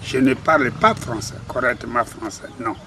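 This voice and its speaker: An older man with a Congolese French accent recounting his life in an interview, halting and modest. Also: medium pitch, sharp tone. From Republic of the Congo. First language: a Congolese language. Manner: slow, halting, self-deprecating. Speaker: interviewee, retired cabinetmaker. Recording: faint background noise.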